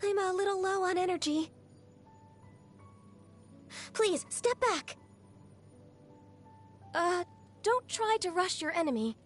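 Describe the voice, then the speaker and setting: Gentle, high-voiced young game heroine. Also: female voice, expressive, heavily acted. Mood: urgent, determined. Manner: polite, earnest, measured. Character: gentle, dutiful young woman adventurer in a fantasy game. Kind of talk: video game character voice lines. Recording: game audio with music underneath. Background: background music.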